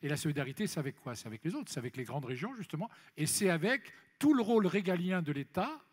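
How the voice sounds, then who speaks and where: Emphatic higher-voiced man in a reverberant hall. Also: big pitch swings, fast talker, husky. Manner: emphatic, repeats phrases for stress. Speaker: elected official speaking as congress panellist. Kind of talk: impromptu panel discussion at a congress. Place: large congress hall plenary session. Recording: reverberant large hall, amplified speech.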